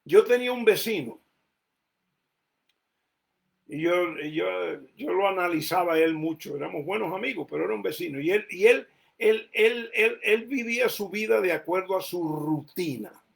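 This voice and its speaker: High gravelly man preaching with Caribbean Spanish accent. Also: lively intonation, normal speed. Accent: Caribbean Spanish, Cuban and Puerto Rican influenced. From Colombia, raised mostly in the United States. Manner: conversational, repeats words while thinking. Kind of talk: sermon teaching.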